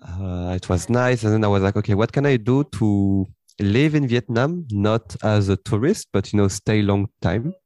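Podcast guest, young man whose first language is French, low voice, loose unhurried chat, recorded dry. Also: no echo. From France. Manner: relaxed, rambling, with frequent fillers and light laughs. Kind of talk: casual interview chat.

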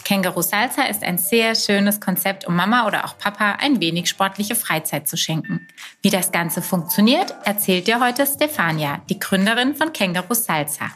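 A woman's voice: medium pitch, animated, moderate pace, a bit bright, faint music behind. A woman hosting an interview, chatty and enthusiastic. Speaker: host.

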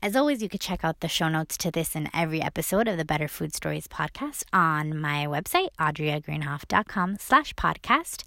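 A woman hosts a food podcast with a cheerful, enthusiastic delivery. Her voice is bright and clear, recorded close with no room sound at all. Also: lowish voice, no echo. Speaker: podcast host. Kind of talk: scripted intro then interview.

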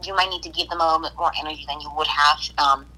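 A woman from Puerto Rico chatting casually on a podcast, hesitant, with restarts and soft laughs. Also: sits low, fairly even intonation, very fast, low-quality recording. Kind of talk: casual conversation.

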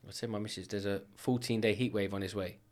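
A man, a business owner chatting as a podcast guest and telling quick, animated stories with asides. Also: on the low side. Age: 30s. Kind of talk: casual podcast chat.

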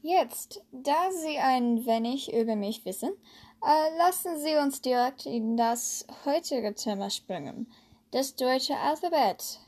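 A woman from the United States, a native English speaker, hosting a podcast and slowly reading a lesson in German with hesitant fillers. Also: high voice, lively intonation, a little mellow. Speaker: podcast host, German learner. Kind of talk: scripted language lesson podcast.

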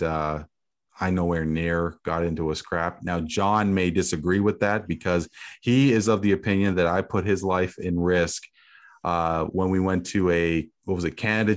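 Deep voice guy hosting a sports show, rambles, lots of uhs. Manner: rambling, many fillers, laughs easily. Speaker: host. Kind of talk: casual sports chat.

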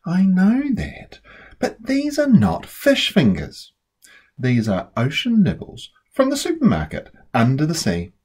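Woman as a patient, persuasive boy, very animated. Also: low voice, a little slow, husky, voice pushed down, heavily acted. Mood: persuasive, sly. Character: clever, patient older brother, a young boy. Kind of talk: storybook character voice.

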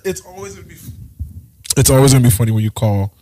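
A man co-hosting a podcast, teasing his co-host in an animated, joking way. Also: medium pitch, quick pace, dry recording. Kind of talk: casual chat.

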